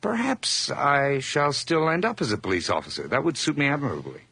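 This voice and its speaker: A man's voice: mid-range voice, very animated, a little fast, gravelly, pushed and bright, slightly rough, dry recording, exaggerated voice. An actor playing a nervous, overly eager hired man who stammers through forced cheer in a radio drama. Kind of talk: 1980s radio drama acting.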